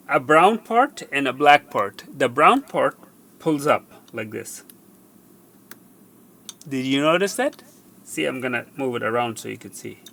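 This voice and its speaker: A man presenting a hands-on repair tutorial, explaining step by step in a halting way and repeating himself. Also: mid-range voice, creaky, a bit bright. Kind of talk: hands-on how-to demonstration. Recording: faint background noise.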